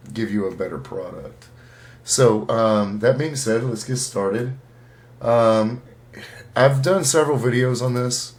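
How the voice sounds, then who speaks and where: A man with a fairly low voice hosts an informal show. He talks casually to his listeners, halting now and then as he works out his thoughts aloud. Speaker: host of a writing-tips show. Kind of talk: casual talk to listeners about storytelling.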